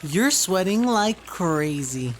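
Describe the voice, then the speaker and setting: A woman's voice: fairly low pitch, very animated, takes it slowly, slight background noise. An actress playing a teasing young girl in an audio drama. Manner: teasing, joking.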